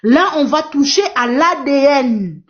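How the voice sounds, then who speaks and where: A high-voiced woman whose first language is Portuguese speaks on a live online panel, pressing her points with emphatic repetition. Her voice comes through dull, lo-fi stream audio. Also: animated, unhurried. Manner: emphatic, repetitive, exhorting the audience. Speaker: live stream moderator and activist. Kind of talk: impassioned live panel talk.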